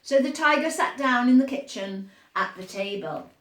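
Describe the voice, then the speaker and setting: A woman's voice: high voice, lively intonation, normal speed, a bit bright. Echoey woman reading picture books to children. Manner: encouraging, explains and asks questions to young listeners. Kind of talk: animated read-aloud storytime with asides to young children.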